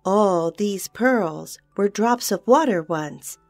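A woman voicing a proud, hot-tempered female fairy of a fountain, speaking in a shrill, imperious way. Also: lively intonation, slow, dry recording, soft background music. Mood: scolding.

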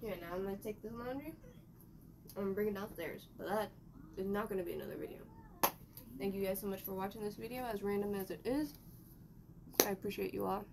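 Chatty young woman with a gravelly voice. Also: medium pitch, a little fast, pauses often. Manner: rambling, chatty, talking to herself while doing laundry. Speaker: vlogger. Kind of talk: casual vlog narration. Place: small bedroom, indoors. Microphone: camera mic at a distance. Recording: noisy room recording from a camera mic.

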